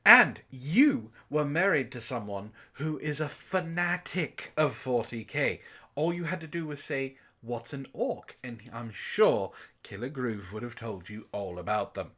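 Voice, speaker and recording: A man's voice: medium pitch, lively intonation, a little slow, pushed and bright. A man bantering in a comedic book club chat, theatrical and mock-pompous, easily worked up. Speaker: book club co-host and reader.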